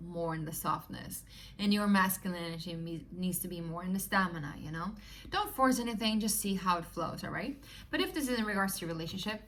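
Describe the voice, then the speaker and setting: A woman's voice: mid-range voice, fairly bright tone, recorded from a distance, a little hiss or hum. Upbeat Swedish woman reading tarot. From Sweden. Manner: chatty, upbeat, lots of "you know" and "right?". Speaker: tarot reader. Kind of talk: casual tarot reading to viewers.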